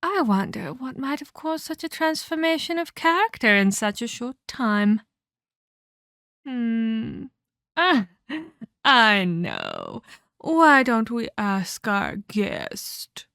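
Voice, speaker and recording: A woman's voice: fairly high pitch, wildly swooping pitch, vocal fry, fairly bright tone, dry recording, studio quality, full character voice. A woman voicing a menacing female vampire captor in a scripted ASMR roleplay, theatrical and mockingly sweet as she scolds and condescends. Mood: cold, taunting. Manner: theatrical, mock-polite, slow deliberate scolding.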